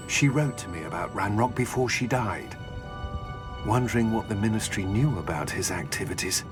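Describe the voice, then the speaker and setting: Male, dignified magical official played by an actor, deliberate delivery with lively inflection, video game cutscene over music. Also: on the low side, lightly acted. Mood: grave. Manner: formal, deliberate. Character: courteous, grave male Ministry of magic official.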